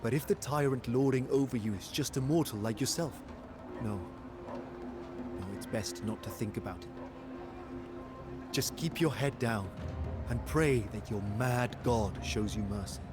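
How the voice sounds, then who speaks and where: An actor playing a weary, devoted military commander in a video game, narrating war in a grave, measured, dramatic first-person style over music. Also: male voice, mid-range voice, lightly acted. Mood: troubled, resigned. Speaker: narrator of campaign cutscenes. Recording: studio voice over background music.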